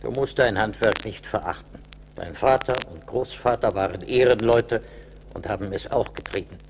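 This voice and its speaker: An actor playing a kindly but stern centuries-old little forest spirit in a radio play, measured and paternal. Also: male voice, lowish voice, vocal fry, slight background noise. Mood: gently admonishing. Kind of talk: 1980s German radio play acting.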